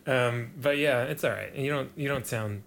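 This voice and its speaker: A young man co-hosting a casual podcast chat, relaxed and laid-back, with lots of fillers and chuckles. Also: medium pitch, normal speed, gravelly, slight background noise. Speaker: podcast co-host.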